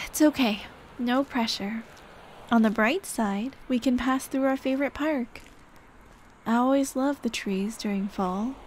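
Girl doing a soft gentle teasing dead girlfriend, asmr roleplay, slow talking, ambient noise behind. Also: fairly high pitch, natural voice. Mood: wistful, gentle. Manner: gentle, teasing, coaxing, sighing. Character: tender, playful dead girlfriend comforting her grieving partner. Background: ambient sound effects.

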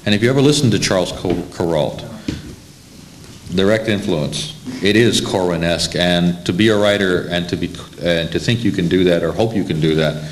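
A man's voice: sits low, fairly quick. A middle-aged American man moderating a live panel, speaking emphatically. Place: small hall stage at a panel table. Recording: slightly noisy amateur video sound.

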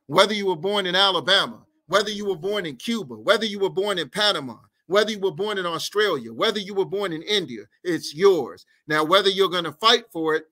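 Forceful man preaching on a video call. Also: high voice. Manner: rhythmic, repetitive oratory with long lists. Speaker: guest activist. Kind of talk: impassioned political speech in an interview. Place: indoor room at home. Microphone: headset on a video call.

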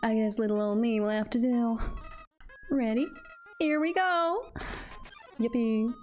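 A woman's voice: a little high, measured pace, darkish tone, soft background music, lightly acted. A woman voicing a falsely friendly male flower in a video game, with a put-on Southern accent and a folksy, wheedling manner. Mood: chirpy folksy.

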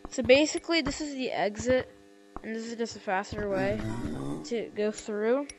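A woman casually walking through a VR game tutorial on a headset mic, rambling and correcting herself, with sudden excited outbursts. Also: a little high, normal speed, noisy background. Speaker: gameplay tutorial maker. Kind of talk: casual VR gameplay walkthrough.

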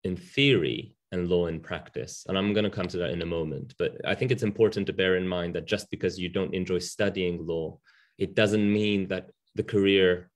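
A man's voice: sits low, steady tone, normal speed. A man in his thirties, a Japanese native speaker, speaking as a barrister on a webinar panel over a video call from a home room, candid with frequent ums and restarts. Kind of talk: webinar talk.

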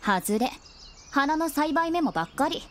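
A woman playing a cheeky but caring young boy companion in a voice drama, boyish, casual and expressive. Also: high voice, measured pace, slight background noise. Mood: disappointed, wistful. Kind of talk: Japanese voice drama acting.

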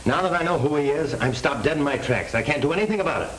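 An American actor playing a desperate, determined young ex-convict with noble scruples in a 1930s crime film. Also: male voice, a little high, expressive, fast, a bit bright. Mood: resigned, bitter. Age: young adult. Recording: noisy old 1930s film soundtrack.

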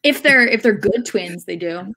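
A woman's voice: mid-range voice, expressive, normal speed, sharp tone. A woman in her thirties co-hosting a casual sports discussion over a video call, with a dry sense of humor. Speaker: podcast co-host and sports analyst. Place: room at home, on a video call.

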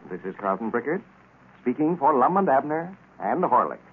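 An American man announces in vintage 1930s radio style. His mid-pitched voice swings in a lively way through a scripted pitch. The recording is thin and tinny, like old broadcast audio. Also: normal speed, a little hiss or hum.

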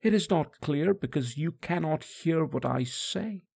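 A man's voice: fairly high pitch, animated, measured pace, gravelly, darkish tone, husky, no room sound. A man narrating a dramatic first-person religious story in a solemn, emphatic manner. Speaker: audiobook narrator.

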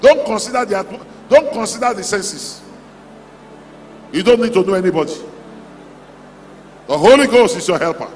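Male charismatic preacher, higher-pitched, exhorting in repeated declarations, long hall reverb. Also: animated, moderate pace, long pauses, a little mellow, slight background noise. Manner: exhorting, repetitive short declarations. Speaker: preacher leading a convention. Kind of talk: charismatic prayer-and-declaration sermon. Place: large hall at a church convention. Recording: echoey hall PA, music underneath. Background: music.

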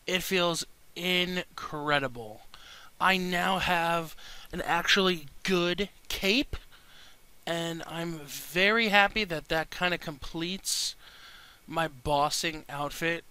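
A young man with a congested, stuffy voice, chatting casually and excitably as he comments on his own gameplay. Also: sits high, clean tone. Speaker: gaming video host.

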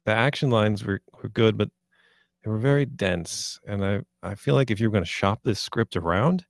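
A man's voice: lowish voice, moderate pace, no echo. A man giving casual critique in a hesitant, laid-back, hedging way. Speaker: script reader giving feedback. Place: online voice-chat stream.

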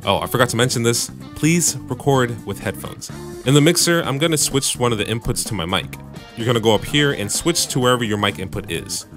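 A man with a bassy voice casually narrating a home recording tutorial, with joking asides, on a studio mic in his home room. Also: lively intonation, a little fast, over music. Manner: laid-back, joking asides. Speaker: music producer and YouTube tutorial host. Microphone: studio microphone through audio interface.

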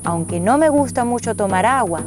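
A woman's voice: on the high side, animated, fairly quick, music in the background. A woman reading a scripted travel narration in the role of narrator and traveller.

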